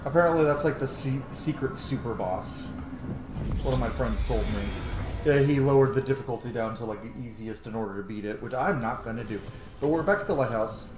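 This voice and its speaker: A man's voice: mid-range voice, a little fast, background noise. A man hosting a gaming channel, giving informal, sweary let's play commentary. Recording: roomy echo, game music behind. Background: video game music.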